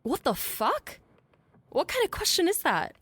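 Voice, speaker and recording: A woman's voice: very high voice, wildly swooping pitch, measured pace, fairly bright tone, no echo, exaggerated voice. A woman playing a forthright, logical scientist in an audio drama, casual and sardonic as she explains things. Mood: startled. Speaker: actor.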